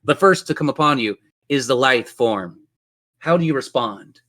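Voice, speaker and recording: A man's voice: mid-range voice, lively intonation, moderate pace, a bit bright. A man running a tabletop role-playing game as game master, telling the story in a descriptive, theatrical way.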